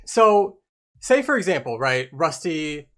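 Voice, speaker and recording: A man's voice: on the high side, sing-song, slow pace, a bit bright. Man with a lightish voice hosting casual chat. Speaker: book club podcast host. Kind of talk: casual group discussion of a book.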